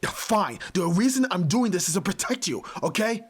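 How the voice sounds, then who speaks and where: A man's voice: sits high, expressive, normal speed, slightly rough, dry recording. A young man playing a brusque, tormented nerd who is a reluctant yakuza heir, in a dramatic audio roleplay, speaking huskily and curtly. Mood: desperate, angry. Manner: brooding, curt, pushing the listener away.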